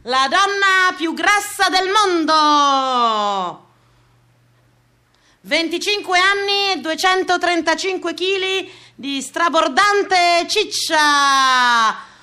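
A woman playing a bombastic fairground sideshow barker in a theatrical radio piece, crying out drawn-out, declamatory phrases. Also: very high voice, expressive, sharp tone, heavily acted. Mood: bombastic hawking.

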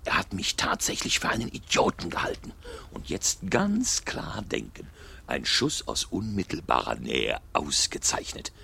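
Cold mocking murderer in a radio play, forceful edge, lively swings in pitch, talking to himself. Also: male voice, measured pace, vocal fry, a little hiss or hum, exaggerated voice. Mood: calculating, smug. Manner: muttering calculatingly to himself, mockingly familiar. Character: cold, sardonic murderer staging a man's suicide. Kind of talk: German radio drama acting.

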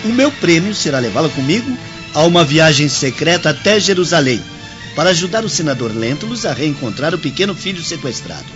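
Proud Roman noble, high and animated. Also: male voice, fairly quick. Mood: jovial, lordly. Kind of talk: radio drama acting in Portuguese. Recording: muffled radio drama under loud music.

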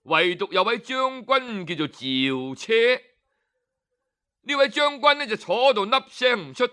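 A man with a Hong Kong Cantonese accent telling a traditional folk tale, leisurely and expressive, with drawn-out particles and dramatic pauses. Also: high-pitched. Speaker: storyteller.

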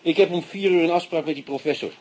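An actor playing an impatient, dry-humored police detective in a radio drama. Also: male voice, on the high side, quick pace, darkish tone, lightly acted.

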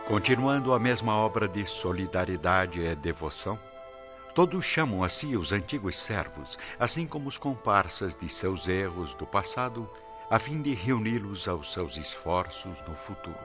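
Male narrator, deep and solemn, dramatic scripted delivery, muffled audio over soft music. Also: animated, normal speed.

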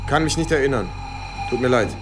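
An actor playing a guarded, polite German wartime lieutenant in a radio drama, speaking formally and with some reserve. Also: male voice, mid-range voice, a little fast, over music, lightly acted. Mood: evasive, polite.

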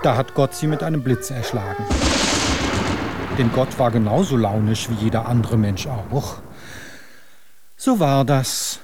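An actor playing the dramatic, declamatory narrator of a grotesque, darkly comic radio tale. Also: male voice, mid-range voice, unhurried, pauses often, over loud music, natural voice. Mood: dramatic storytelling. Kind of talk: theatrical radio drama storytelling.

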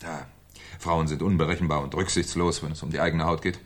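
An actor playing a shrewd, sardonic French police commissioner in a German radio crime drama, with a dry, probing manner. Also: male voice, a bass voice, level tone, fast, husky. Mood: pressing, ironic.

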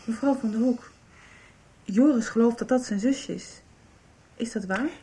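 Radio soap role for a woman: earnest young girl, halting, highish voice, a bit off the mic. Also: mellow, far from the mic, lightly acted. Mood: hesitant, worried. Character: hesitant, earnest young girl pleading for answers.

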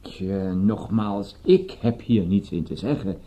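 A man's voice: low-pitched, expressive, slow, warm tone, exaggerated voice. Hese, ambtelijke Britse politieman. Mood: resigned. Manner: long-winded official phrasing. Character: dry, officious British police colleague. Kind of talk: radio drama acting.

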